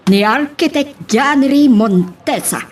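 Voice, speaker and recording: A woman's voice: sits high, expressive, unhurried, far from the mic, slight background noise. A woman acting a haughty, scheming, middle-aged rich mother in an Ilocano romantic radio drama. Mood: haughty, bribing.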